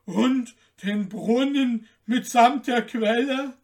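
A man voicing a huge, gruff, gullible old giant from a fairy tale, with a booming, grumbling, drawn-out delivery. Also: a little mellow, full character voice. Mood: grumbling, alarmed. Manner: slow, drawn-out, emphatic delivery.

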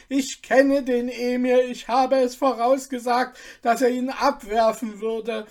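A reader voicing an old male stable groom who frets and insists, talking higher and louder than the narration, in a storybook reading. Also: lightly acted. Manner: insistent, fretful, self-justifying.

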